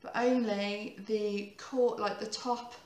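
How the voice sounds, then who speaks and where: A woman in her thirties with a croaky voice, giving a chatty, rambling makeup tutorial and often correcting herself. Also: medium pitch, fairly even intonation, slow, a little mellow, echoey room. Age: 30s.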